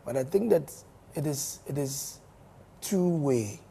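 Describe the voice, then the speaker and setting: A hoarse man with a Ghanaian accent, a member of parliament speaking in a studio interview, measured and given to repeating words as he explains at length. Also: on the high side, animated, mellow, a little hiss or hum. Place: television studio.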